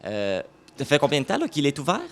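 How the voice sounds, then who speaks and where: A man's voice: a little high, wildly swooping pitch, fast talker, slight background noise. A man with a Québécois French accent, a local TV reporter asking easygoing questions in a café. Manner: friendly, informal questioning. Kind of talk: casual interview. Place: café on the street, indoors.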